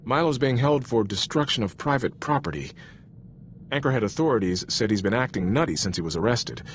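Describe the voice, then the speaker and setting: A man's voice: medium pitch, lively intonation, fairly quick, slight background noise, natural voice. A man playing an earnest, loyal Republic soldier in a video game, speaking frankly in an informal, sincere military manner. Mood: concerned, urgent. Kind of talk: cinematic video game voice acting.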